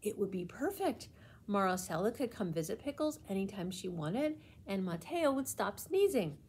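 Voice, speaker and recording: A woman reading a children's storybook aloud in an expressive, deliberate way with emphasis. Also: mid-range voice, a little hiss or hum.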